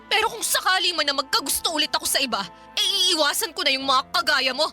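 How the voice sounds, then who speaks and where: A woman playing a sharp-tongued young wife in a radio drama, shrill and confrontational, with faint music behind. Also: animated, fast, exaggerated voice. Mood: scornful.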